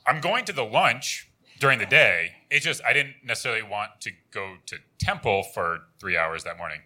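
A man with a deep voice answering questions on stage in short, reluctant replies. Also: lively intonation, normal speed, sharp tone. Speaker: audience guest, husband.

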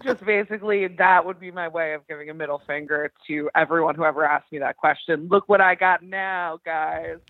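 A woman's voice: deep voice, fairly quick, few pauses, dry recording. A woman in her thirties talking candidly and chattily, with self-deprecating humour, as a caller over a phone line. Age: 30s. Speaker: caller seeking advice; education professional. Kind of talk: candid personal conversation. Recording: thin, tinny phone call.

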